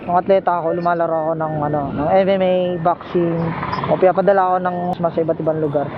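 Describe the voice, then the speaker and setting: A man's voice: fairly high pitch, steady tone, fast talker, phone-quality sound, noisy background. A man chatting casually and teasingly with a passenger as a motorcycle taxi rider and vlogger. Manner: jokey, teasing, giggly. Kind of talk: casual chat with a passenger while riding. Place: outdoors on a motorcycle in traffic. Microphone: helmet intercom headset. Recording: loud music, motorcycle intercom on road. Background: clear background music, vehicle sounds.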